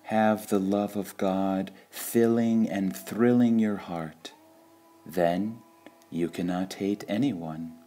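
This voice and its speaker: A man reading devotional sayings from a script in a measured, deliberate way, pausing between short phrases. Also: low voice, fairly even intonation, dark tone. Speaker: reader of spiritual quotations.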